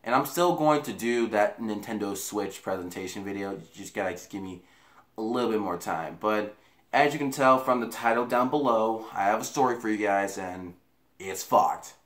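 A man's voice: fairly low pitch, moderate pace, fairly bright tone. A young American man telling a casual story to camera in a rambling, repetitive, profane way, recorded in a bedroom on a camera mic a few feet away. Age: young adult. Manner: rambling, profane, repeats himself. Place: small bedroom, indoors. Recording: echoey room.

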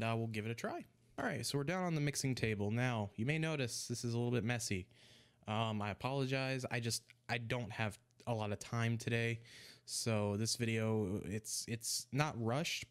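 A young man talks into a desk microphone in a small room at home, his voice slightly congested. He rambles in a relaxed, easygoing way, often restarting his words, at an ordinary middle pitch. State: a little congested. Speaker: e-liquid mixing vlogger. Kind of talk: casual how-to vlog. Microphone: desk microphone in front of him.

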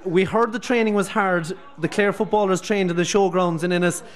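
Brisk Irish radio host, slightly high. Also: male voice, fairly even intonation. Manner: brisk, leading questions. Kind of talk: live radio sports interview. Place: busy pub, indoors. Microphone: handheld radio mic close up.

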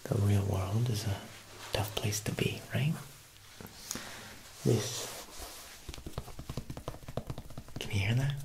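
Whispering, creaky mob boss played by a man. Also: on the low side, poor audio. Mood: smooth, generous. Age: young adult. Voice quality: breathy whisper with creaky edges. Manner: slow, deliberate, coaxing with veiled threat. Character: smooth, menacing Italian mob boss tailor, male. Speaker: ASMR performer. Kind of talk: whispered ASMR roleplay.

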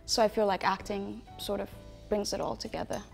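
Young woman from Nepal, interview guest; wry, self-mocking delivery with a gravelly, fry-touched voice and a faint music bed underneath. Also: mid-range voice, steady tone, brisk. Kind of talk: casual interview chat. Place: dark studio set, indoors.

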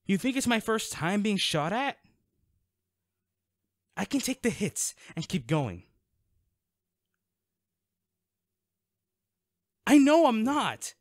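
An actor playing a protective, worried male bodyguard in an intimate, dramatic audio roleplay, with halting, emotional delivery. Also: extremely high pitch, no echo, clean studio sound, heavily acted. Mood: pleading, heated.

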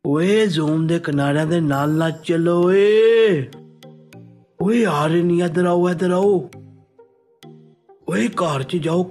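An actor playing an excitable Punjabi soldier, calling out drawn-out, exclamatory squad commands for a game. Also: male voice, fairly high pitch, soft background music. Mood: alarmed, frantic. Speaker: game voice pack performer.

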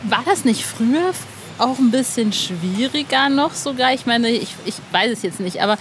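A woman's voice: fairly high pitch, expressive, moderate pace, a little hiss or hum. A woman hosting a podcast, asking questions in a casual, conversational interview style. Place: outdoors in a cemetery.

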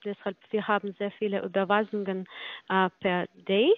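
Halting young woman on a dull phone line. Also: medium pitch, level tone, no echo. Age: 20s. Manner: halting, hesitant, broken phrasing. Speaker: phone caller posing as finance company agent. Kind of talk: phone conversation. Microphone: phone call.

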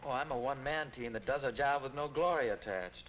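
An earnest, boyish high schooler played by a young man in a 1940s guidance film. His mid-pitched voice sits on a muffled, faintly noisy soundtrack. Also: a little fast, close to an everyday voice. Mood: eager, joking. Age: young adult. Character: eager, boyish, good-natured teenage boy. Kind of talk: 1940s instructional film acting.

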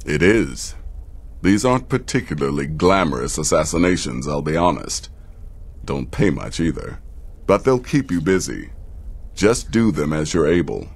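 An actor playing a sardonic, aloof desert-born assassin in a video game, speaking in a measured, dry, formal way. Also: male voice, a bass voice, very animated, creaky, breathy edge, exaggerated voice. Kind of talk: video game character dialogue.

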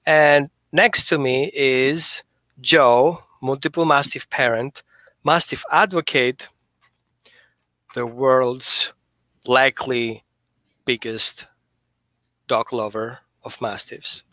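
Middle-aged guy from greece chatting on a headset call, bright crisp voice, dry sound. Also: mid-range voice, slow, long pauses, no room sound, poor audio. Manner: friendly, explanatory, with small hesitations. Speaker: host, holistic dog behaviorist. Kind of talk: casual video-call chat. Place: small room indoors. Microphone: headset mic on a video call.

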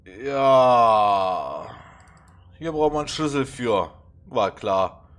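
Slow, musing man with a stuffy nose. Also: mid-range voice, expressive, a bit bright. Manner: halting and musing, with many fillers. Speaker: let's play gamer. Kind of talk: casual puzzle game commentary.

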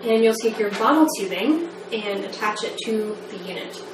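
A woman in her thirties giving a measured, step-by-step training demonstration in the manner of a methodical instructor. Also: medium pitch, lively intonation. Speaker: medical device trainer. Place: small plain indoor room. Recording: echoey room, distant mic, background noise.

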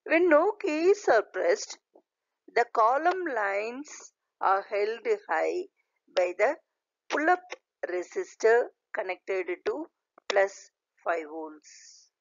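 A woman lecturer reading a scripted slide lesson aloud in a deliberate, halting way, in short phrases. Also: medium pitch, sing-song, no echo. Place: voice-over recorded over slides. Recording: dull, lo-fi voice recording.

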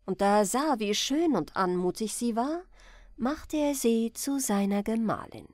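Expressive, unhurried woman storyteller. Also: on the high side, no echo, clean studio sound. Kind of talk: bedtime fairy-tale audiobook narration.

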